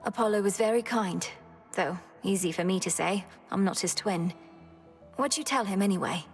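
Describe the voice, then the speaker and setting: A woman voicing a composed, earnest young witch princess in a video game, with formal, measured and courteous phrasing. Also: medium pitch, soft background music, natural voice. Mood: wry, curious.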